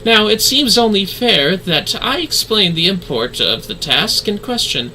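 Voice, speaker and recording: A woman voicing a composed, gracious female leader in a fantasy game, speaking in formal, archaic, measured phrases. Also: mid-range voice, big pitch swings, bright, forceful tone, slight background noise, low-quality recording. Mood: gracious, apologetic.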